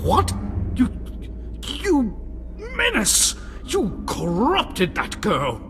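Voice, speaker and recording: An actor playing a pompous, indignant noble curator in a video game, speaking in a haughty, formal, theatrical way. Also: male voice, extremely high pitch, huge pitch swings, very slow, noisy background, heavily acted. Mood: outraged.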